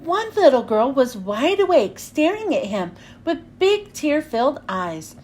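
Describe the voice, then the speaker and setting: A woman with a notably high voice reads a children's story aloud. Her delivery is lively and swings widely in pitch, with each phrase clearly enunciated for young listeners. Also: a little slow, a little hiss or hum. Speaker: children's read-aloud host.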